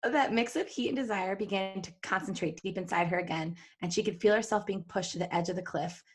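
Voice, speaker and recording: A woman's voice: mid-range voice, a little fast, husky. A woman co-hosting a podcast, reading fan fiction aloud in a giggly, jokey way and breaking off for casual asides. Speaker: podcast co-host.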